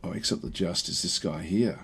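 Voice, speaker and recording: A man with a croaky voice casually talking through a strategy game, thinking aloud with many fillers and restarts. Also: sits low, brisk, fairly bright tone. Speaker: gameplay commentator.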